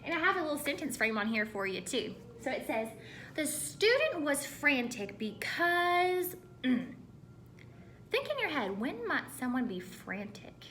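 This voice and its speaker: Animated, bright, high-voiced woman teaching children. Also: measured pace, a little hiss or hum. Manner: animated, instructive, asks listeners questions. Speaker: elementary school teacher. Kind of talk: read-aloud lesson for children.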